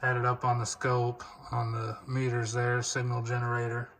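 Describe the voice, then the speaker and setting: A man's voice: medium pitch, monotone, moderate pace, a bit bright. A man giving a casual, plainspoken demonstration of used gear he is selling, talking off the cuff.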